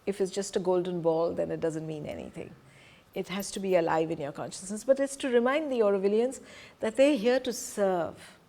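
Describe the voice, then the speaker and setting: A woman's voice: medium pitch, fairly quick, mellow. A middle-aged woman from Punjab, a Hindi speaker, giving an earnest, emphatic talk to a group as presenter and teacher. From Punjab, India. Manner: earnest, emphatic, stresses key words.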